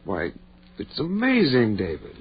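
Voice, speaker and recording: An actor playing a cautious, morally troubled surgeon in a 1940s radio drama, making hesitant, measured objections. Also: male voice, medium pitch, huge pitch swings, breathy edge, exaggerated voice. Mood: affable, cautious. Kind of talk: 1940s radio horror acting. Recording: dull vintage 1940s radio recording.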